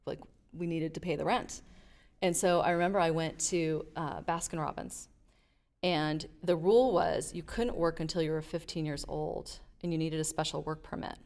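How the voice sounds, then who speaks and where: Middle-aged woman guest, low voice, animated storyteller. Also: moderate pace. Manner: animated storyteller, acts out quotes. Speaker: guest, leadership advisor. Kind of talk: casual interview storytelling.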